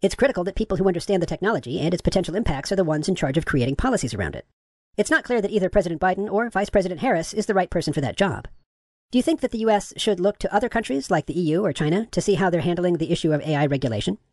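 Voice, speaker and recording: A man reading the lines of a courteous, gender-neutral AI chatbot, with his voice pitch-shifted upward. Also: animated, very fast, darkish tone, dry recording, clean studio sound. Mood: polite, agreeable. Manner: courteous, affirming, asks follow-up questions. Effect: pitch raised.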